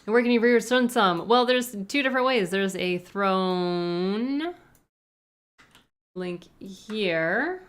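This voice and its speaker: A woman's voice: mid-range voice, slow. A young woman with a Canadian accent, chatting casually on a live stream and answering viewer questions. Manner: rambling, thinks aloud with frequent hedges.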